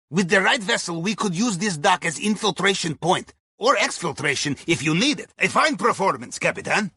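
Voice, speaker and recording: Wry, high-pitched Russian submarine navigator. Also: male voice, pushed and bright, slightly rough, dry recording, heavily acted. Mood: conspiratorial, businesslike. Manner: dry, darkly humorous, articles dropped from speech. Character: wry Russian submarine navigator, a criminal's loyal heist advisor. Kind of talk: video game mission dialogue.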